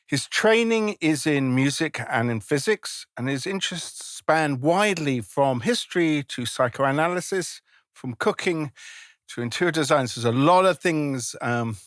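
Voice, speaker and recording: A man's voice: fairly high pitch, animated, a bit bright, dry recording. Casual: guy hosting a podcast, curious, asks lots of questions, super dry mic. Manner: friendly, curious, quick follow-up questions. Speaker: podcast host. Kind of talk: interview host.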